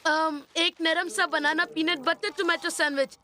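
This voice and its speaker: Cartoon boy sidekick: very high, bright, naive and wide-eyed. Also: fairly even intonation, a little slow, heavily acted. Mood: earnest. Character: easily impressed, naive young schoolboy sidekick. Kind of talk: Hindi cartoon dubbing.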